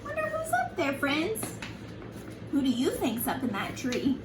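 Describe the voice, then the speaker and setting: A woman reading a picture book to young children like a preschool teacher, in an animated, sing-song, encouraging way with simple questions to her listeners. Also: high-pitched, measured pace. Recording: echoey room, distant mic, noisy background.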